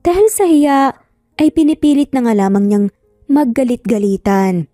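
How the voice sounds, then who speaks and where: A woman narrates a scripted romance tale in a soft, mellow voice that sits a little high. The sound is somewhat roomy, with music underneath. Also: moderate pace, clean studio sound. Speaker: storyteller.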